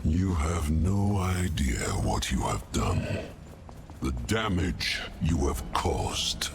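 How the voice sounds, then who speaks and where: Very deep, gravelly tyrant god. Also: male voice, expressive, noisy background, exaggerated voice. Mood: cold menace. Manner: pompous, slow, theatrical. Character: arrogant, menacing tyrant who calls himself a god. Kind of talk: dramatic fantasy video game acting. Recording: loud music behind. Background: loud game score.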